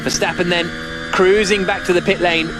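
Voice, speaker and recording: A man giving live, excitable and emphatic motor racing commentary as the lead commentator. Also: high-pitched, moderate pace. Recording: loud engine noise behind. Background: racing cars and engine roar.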